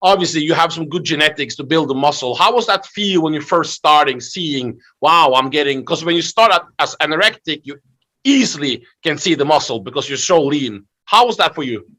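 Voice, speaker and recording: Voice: medium pitch, lively intonation, a little fast, sharp tone. A man hosting a conversational video interview, asking questions and reacting with short interjections. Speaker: interview host.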